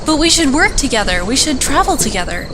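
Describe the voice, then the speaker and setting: A man's voice: very high voice, big pitch swings, a little fast, noisy background, pitched above the performer's own voice, exaggerated voice. A man voicing a naive young female pony adventurer, earnest and pleading, in an audiobook story. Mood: pleading disbelief.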